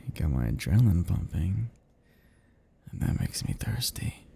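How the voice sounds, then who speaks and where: A very dark, velvety-toned male vampire, performed by a man for roleplay ASMR, murmuring softly and slowly with a gravelly creak. He is seductive and possessive, teasing his prey with playful menace and swinging expressively in pitch. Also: sing-song, a little hiss or hum, exaggerated voice. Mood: hungry, seductive. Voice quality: low, soft, breathy murmurs dropping into whispers. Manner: teasing, slow, lingering, chuckling between phrases. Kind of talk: intimate roleplay ASMR monologue.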